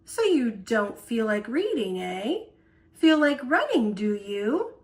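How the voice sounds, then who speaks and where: A woman voicing a jolly, excitable old grandfather in a picture-book read-aloud, chirpy and eager. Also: fairly high pitch, slow. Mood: warm eagerness.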